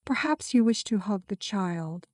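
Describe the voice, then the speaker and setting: A woman narrating a scripted guided meditation in a soothing, measured, gently instructing manner. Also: medium pitch, lively intonation, no room sound, clean studio sound.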